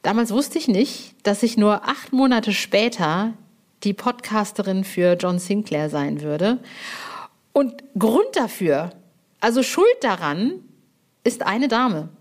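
A woman hosts a podcast in an informal, chatty way. She is playful and effusive, with colloquial phrasing and a mid-pitched voice. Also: animated, takes it slowly. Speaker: podcast host.

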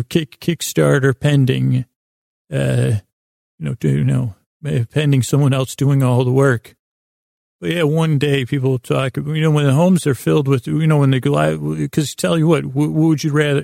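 A man rambles through a bedtime monologue as a podcast host. His voice is very gravelly and creaky, with little pitch movement, in a close, dry recording. He meanders and halts, pausing mid-thought to restate what he just said. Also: level tone, darkish tone, dry recording. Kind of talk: rambling bedtime monologue to help listeners sleep.

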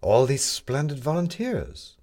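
A man's voice: medium pitch, sing-song, a little slow, darkish tone, voice pushed up. A man voicing a bitter, proud, talkative male con artist in an audiobook, with emphatic, rhetorical delivery. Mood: scornful.

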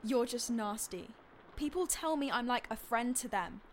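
A woman's voice: on the high side, animated, moderate pace, a little hiss or hum, lightly acted. A woman playing an upset, defensive teenage girl vlogger, pleading and indignant, in an audio drama. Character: defensive, upset fifteen-year-old girl beauty vlogger.

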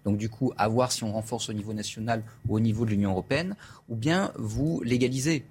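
A man giving measured analysis as a debate guest, hesitating often as he lays out options. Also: fairly low pitch, a little mellow, breathy edge. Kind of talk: studio debate.

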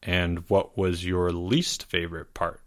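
Storybook teacher, done by a male narrator: patient but tired, gently prompting, lively bright delivery. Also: medium pitch, normal speed. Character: patient, weary male schoolteacher leading book club.

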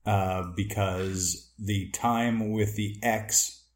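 A man's voice: lowish voice, steady tone, drawn-out words, reverberant. A young man co-hosting a podcast, teasing and swearing in casual banter. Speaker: podcast co-host. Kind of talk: casual chat.

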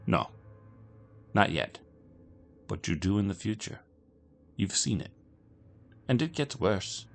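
A man voicing a calm, formal, mysterious European stranger with measured diction. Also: lowish voice, vocal fry, voice pushed up, exaggerated voice. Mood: cold.

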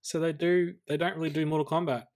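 An Australian man co-hosting a podcast, talking casually about films in a measured, tentative way, thinking aloud. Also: a little high, flat delivery, darkish tone. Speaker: podcast co-host. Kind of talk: casual film discussion.

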